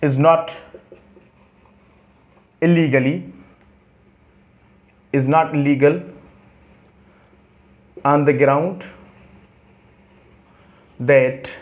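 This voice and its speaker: A man with a Pakistani accent giving an explanatory law lecture, deliberate and repetitive, halting when reading out in English. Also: on the high side, steady tone. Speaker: law teacher. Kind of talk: explanatory lecture mixing Hindi-Urdu and English.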